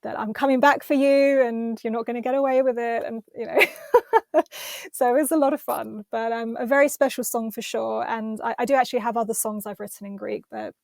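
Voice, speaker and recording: A woman's voice: a little high, fairly even intonation, fairly quick, vocal fry, darkish tone, no room sound, studio quality. A woman with an English accent, a singer chatting as a podcast guest in an enthusiastic, rambling way with many small restarts. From London, England. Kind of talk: casual interview chat.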